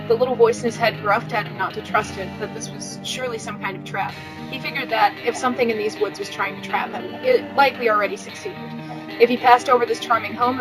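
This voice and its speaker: Female podcast host, slightly high voice, informal read-aloud and chat, faint music underneath. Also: low-quality recording. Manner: informal, swears freely. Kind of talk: casual chat and amateur read-aloud of fan fiction.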